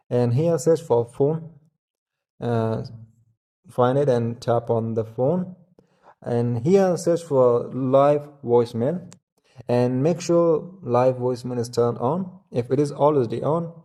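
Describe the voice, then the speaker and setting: Guy doing a how-to tech walkthrough, relaxed dark voice, bit halting between steps. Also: medium pitch. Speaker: tutorial host. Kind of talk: step-by-step tech tutorial narration.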